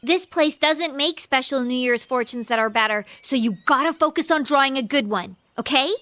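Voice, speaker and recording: A woman's voice: sits high, normal speed, fairly bright tone, no echo. An American woman voicing a bashful, earnest teenage girl in love in an anime game dub, perky and easily flustered. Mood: cheerful, chatty.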